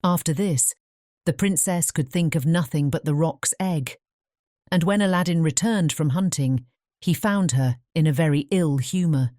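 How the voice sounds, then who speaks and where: A woman narrates a storybook in a low, mellow voice. The reading is scripted audiobook narration, recorded very dry and close. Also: normal speed, no room sound.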